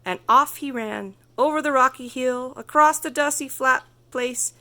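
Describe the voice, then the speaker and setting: A woman reading a children's story aloud as narrator, expressive and carefully phrased. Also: high voice, a little slow. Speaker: storybook reader.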